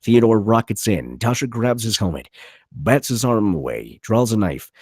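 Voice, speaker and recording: Husky, animated male script narrator. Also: lowish voice, normal speed, no echo, studio quality. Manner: vivid, punchy reading of action. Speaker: narrator reading stage directions. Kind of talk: dramatic script narration at a table read.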